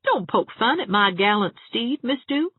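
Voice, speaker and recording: A woman's voice: a little high, sing-song, normal speed, husky, no echo, narrow, tinny audio, pitched above the performer's own voice. A woman voicing a cheerful, teasing, self-mocking young man in a storybook reading. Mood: mock-gallant.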